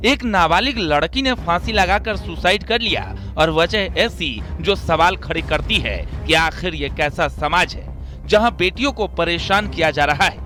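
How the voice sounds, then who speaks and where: A man voicing a news report as a reporter, with measured, grave narration. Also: high voice, sharp tone, soft background music. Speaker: field reporter. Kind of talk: scripted news voice-over.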